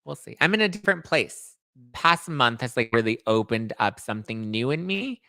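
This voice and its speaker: A man's voice: mid-range voice, expressive, normal speed, sharp tone, dry recording. A man in his late twenties hosting a live stream, reading viewer comments aloud and answering them in a candid, chatty way. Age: 20s. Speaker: podcast host reading live chat. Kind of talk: casual live-stream chat.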